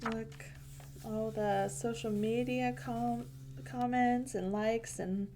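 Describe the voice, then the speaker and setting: A woman reads a children's picture book aloud in a gentle storyteller's manner. Her pace is very slow, with pauses between short phrases, and her pitch sits in a middle range for a woman. She mixes plain narration with casual asides. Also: gravelly, a little hiss or hum.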